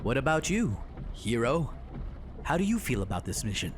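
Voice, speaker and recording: An actor playing an ambitious, restless young soldier in a video game, eager and a little cocky. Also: male voice, mid-range voice, very animated, moderate pace, slight background noise, lightly acted. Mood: eager, keen. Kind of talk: video game character dialogue.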